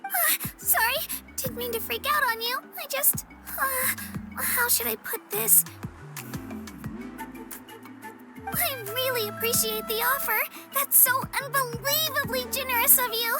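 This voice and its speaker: A woman's voice: very high-pitched, normal speed, over loud music. A woman voicing an earnest, modest young warrior girl in a video game, youthful and a little hesitant. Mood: flustered, grateful. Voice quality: youthful, girlish. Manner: earnest, self-effacing, hesitant. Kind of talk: video game character voice acting.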